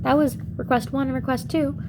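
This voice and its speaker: A woman's voice: sits high, fairly even intonation, a little fast, noisy background, pitched above the performer's own voice. A woman voicing a small, insistent male talking frog in a fairy tale, pleading and bargaining in casual slang.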